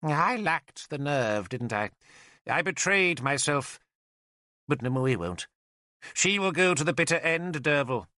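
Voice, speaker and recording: A blind, rambling old druid for an audiobook; high-pitched, swooping, emphatic, easily indignant. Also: male voice, big pitch swings, unhurried, sharp tone, dry recording, clean studio sound, heavily acted. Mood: wistful, rambling. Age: older.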